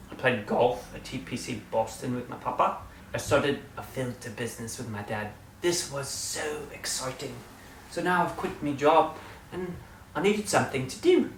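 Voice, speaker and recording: A man hosting his own channel, chatting through a casual update in a playful, theatrical way that jumps between loud and quiet. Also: mid-range voice. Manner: slips into a put-on British-style accent at times. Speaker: channel host. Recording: roomy, distant mic, faint room noise.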